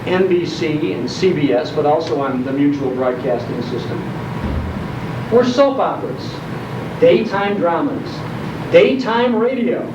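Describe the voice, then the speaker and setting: An older American man telling nostalgic stories to a live audience in a folksy way, with theatrical pauses and emphasis. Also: a little high, lively intonation. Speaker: guest speaker on old-time radio. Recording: roomy, distant, noisy, muffled live recording.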